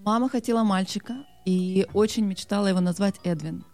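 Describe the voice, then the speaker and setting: Guest chef, woman, mid-pitched, soft and calm, brief answers. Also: a little fast, soft background music. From Azerbaijan, raised in Russia. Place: radio studio.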